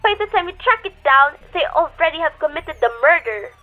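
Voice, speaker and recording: An actress playing an anxious, demanding invalid wife in a radio suspense drama, insistent and dramatic, stammering when alarmed. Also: female voice, high voice, expressive, fairly quick, faint music behind. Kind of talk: old-time radio suspense drama acting. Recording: tinny, phone-like radio drama audio.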